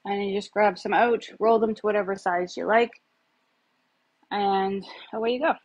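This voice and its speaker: Thirties woman vlogging in her kitchen, slightly breathy, loose and chatty with fillers, phone on the counter. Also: mid-range voice, fairly even intonation, moderate pace, a little mellow, dull audio. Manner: chatty, rambling, many fillers. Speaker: home cook vlogger. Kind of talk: casual cooking demo. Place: home kitchen, indoors. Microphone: phone camera on the counter.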